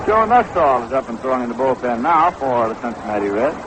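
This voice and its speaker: A man's voice: medium pitch, very animated, fairly quick, crowd noise. An American man calling baseball play-by-play on the radio in a 1960s commentary style, from a ballpark booth. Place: outdoor ballpark broadcast booth. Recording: noisy vintage 1960s radio broadcast.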